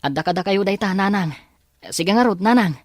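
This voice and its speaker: A man's voice: sits high, lively intonation, fairly quick. A man with a Filipino accent acting an earnest, respectful young man in an audio drama. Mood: respectful, concerned. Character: earnest young man searching for his twin, respectful son.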